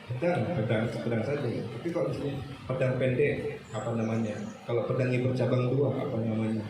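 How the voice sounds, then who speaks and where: A man with an Indonesian accent giving an Islamic lecture to students, didactic, repeating phrases and posing questions to his listeners. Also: medium pitch. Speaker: religious teacher lecturing students. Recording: very echoey room with clear background noise.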